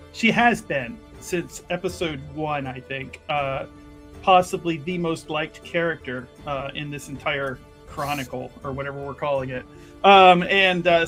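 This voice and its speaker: High-voiced man introducing a game character. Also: fairly bright tone. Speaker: player in an actual-play game. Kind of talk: casual chat, character introduction.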